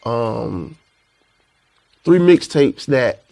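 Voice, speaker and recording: A man from Florida, a rapper with a high-pitched voice, talking in a relaxed, reflective way as an interview guest in a recording studio. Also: very slow, gravelly. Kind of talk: casual interview chat.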